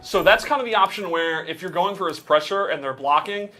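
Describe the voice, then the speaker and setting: A man with a bright, higher-pitched voice explains game mechanics casually. He talks quickly, with music in the background. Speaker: game developer explaining character moves. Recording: music playing behind the voice.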